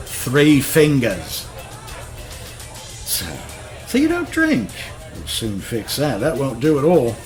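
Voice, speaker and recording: An actor playing a cocky, flirtatious British celebrity photographer of the 1960s in a radio drama, swaggering and slangy. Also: male voice, medium pitch, huge pitch swings, takes it slowly, long pauses, soft background music. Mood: seductive. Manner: swaggering, slangy, smooth-talking.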